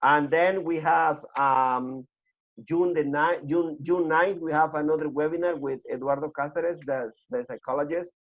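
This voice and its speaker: A man hosting a webinar on a video call, giving informal closing announcements in a halting way and repeating himself. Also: a little high, fairly even intonation. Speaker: webinar host. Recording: muffled video call audio.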